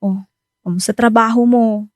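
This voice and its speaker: Actress as a high-voiced, patient young Filipino wife. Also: female voice, fairly even intonation, moderate pace, dry recording, clean studio sound, natural voice. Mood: affectionate, teasing. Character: patient young wife and devoted new mother. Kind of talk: Filipino radio drama acting.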